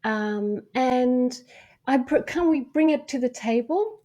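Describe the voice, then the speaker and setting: A woman's voice: high voice, animated, slow, dark tone, highs cut off. A woman with an Australian accent, a meditation coach speaking frankly and earnestly as a podcast guest, thinking aloud as she goes. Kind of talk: podcast interview.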